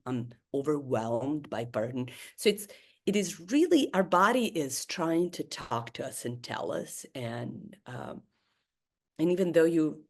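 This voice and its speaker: A woman's voice: very deep voice, a little mellow. Woman in her fifties, hesitant webinar lecture. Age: 50s. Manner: hesitant, many fillers and restarts. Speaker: therapist giving a webinar talk.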